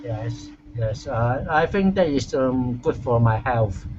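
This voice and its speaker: A man speaking beginner English very slowly, stopping often and filling gaps with ums and uhs. The call audio is muffled and lo-fi, with faint noise behind him. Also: mid-range voice, lively intonation, darkish tone. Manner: very halting beginner English, many fillers. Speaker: language student. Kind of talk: casual class chat. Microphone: computer microphone on a video call.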